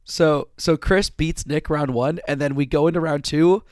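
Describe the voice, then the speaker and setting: A man hosting a casual podcast, his voice sore and worn out after days of strain. He sits a little high in pitch for a man and talks in a rambling way, swearing casually and often restarting sentences. The recording is close and dry, with almost no room sound. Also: fairly even intonation, normal speed, darkish tone, no echo. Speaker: podcast host.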